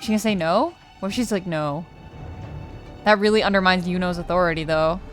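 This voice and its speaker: A woman's voice: on the low side, fairly quick. A woman giving casual, reactive gaming commentary, repeating herself and swearing now and then. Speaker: let's player. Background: game music.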